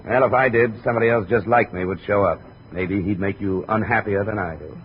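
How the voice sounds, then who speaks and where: An actor playing a wry, hard-boiled American investigator, clipped and world-weary, in 1950s radio noir style. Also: male voice, on the low side, brisk, slight background noise, natural voice. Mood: cool, probing. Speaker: lead actor and narrator of a radio detective series. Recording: dull vintage radio recording with music.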